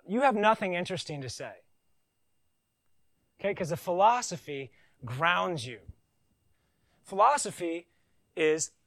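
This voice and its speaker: A man's voice: a little high, no room sound, studio quality. A man preaching a sermon, reading scripture with emphasis and breaking into conversational asides. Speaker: pastor.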